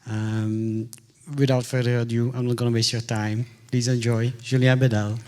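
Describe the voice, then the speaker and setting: A man's voice: medium pitch, level tone, moderate pace, very noisy. Man introducing a conference speaker. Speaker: conference session host. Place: conference hall.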